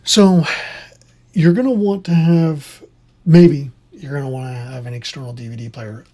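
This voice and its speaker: An instructor of unclear sex giving an informal, opinionated tutorial in a husky, conversational voice that rambles along. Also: medium pitch, steady tone, normal speed, warm tone. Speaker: instructor in theater sound.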